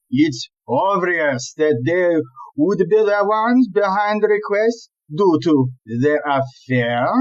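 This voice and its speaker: An actor playing an exasperated, blustering chief police inspector in a comic audio play, with an emphatic, deliberate, ranting delivery. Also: male voice, high voice, dull audio.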